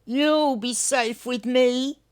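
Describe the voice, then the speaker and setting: Lady doing a sweet old aussie bilby for a kids book, high voice, draws words right out. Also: slow pace. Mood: fond. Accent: Australian. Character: gentle old male bilby, devoted and tender.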